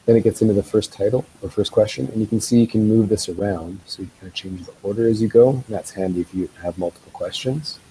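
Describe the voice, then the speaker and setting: A man with a head cold, sounding congested, giving a relaxed screen-share tutorial for teachers with frequent ums. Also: lowish voice, fast talker, hardly any pauses, a little hiss or hum, low-quality recording. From Canada. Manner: relaxed, explanatory, with ums and uhs. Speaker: school tech trainer.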